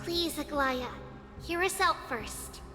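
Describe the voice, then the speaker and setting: A woman's voice: sits high, slow. A woman voicing a tiny, earnest, kind girl in a video game, childlike and pleading. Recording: long hall reverb under loud music.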